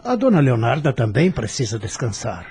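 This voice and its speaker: An actor playing a kindly, reassuring, gently joking doctor in an audio drama, courteous and persuasive, with a Brazilian accent. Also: male voice, medium pitch, very animated, normal speed, husky. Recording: music under the voice.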